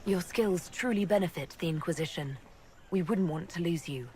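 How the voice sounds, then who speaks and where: A woman playing a composed, reassuring leader in a fantasy video game, talking in a calm, direct and measured way over background noise. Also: lowish voice, natural voice. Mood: supportive. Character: composed, reassuring female military-religious leader. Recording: clear background ambience noise.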